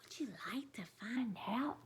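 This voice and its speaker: A woman's voice: on the high side, a little slow. A woman reading a storybook aloud for young children, expressively, with chatty asides to her listeners.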